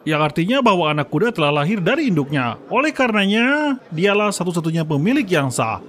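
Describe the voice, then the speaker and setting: A pompous old court judge from a dubbed cartoon. His voice sits high and grand, and he proclaims each line with very lively, swooping intonation. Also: a little fast.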